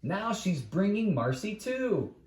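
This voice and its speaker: A man's voice: sits high, very animated, takes it slowly, darkish tone, voice pushed up, heavily acted. A man voicing a gloomy, wishy-washy young boy who sighs, hesitates and complains in a read-aloud storybook, in a roomy recording. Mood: wailing, doomed.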